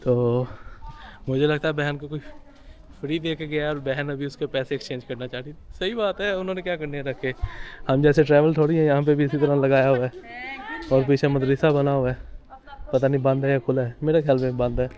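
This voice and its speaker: A man's voice: mid-range voice, steady tone, brisk, a little mellow. A young man from Pakistan, giving casual, chatty commentary to a handheld camera as he walks through old-town streets outdoors. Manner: chatty and conversational, pointing things out as he walks. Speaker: travel vlogger. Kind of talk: casual walking tour commentary.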